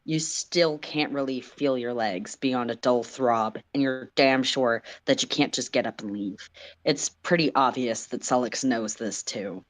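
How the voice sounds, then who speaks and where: A woman's voice: a bass voice, a little slow. A woman narrating a story dramatically in the second person, as a livestream reader.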